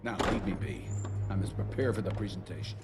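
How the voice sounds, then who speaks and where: An actor playing a stern, overbearing institute director in a video game, with a formal, measured and authoritative delivery. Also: male voice, sits low, loud music behind the voice, lightly acted. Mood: exasperated, threatening. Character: stern, commanding male director of monster-hunting institute, overbearing father. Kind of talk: dramatic video game acting.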